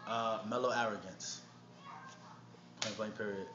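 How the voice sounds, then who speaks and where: A man's voice: fairly low pitch, steady tone, measured pace. A man from Harlem with a New York accent, talking casually and in slang as a rapper being interviewed. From Harlem, New York City. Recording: noisy, muffled, echoey room recording.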